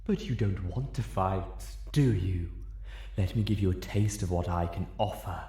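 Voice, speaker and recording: A man's voice: low-pitched, a little slow, mellow, reverberant. A man voicing a sinister, cajoling evil spell book in a story. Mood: cajoling, tempting.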